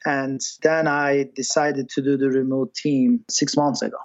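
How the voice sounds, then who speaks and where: A man from Turkey, a startup founder, chatting casually as a podcast guest, animated and quick to laugh. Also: medium pitch, highs cut off. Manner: animated, laughs often, non-native grammar slips. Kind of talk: casual interview chat.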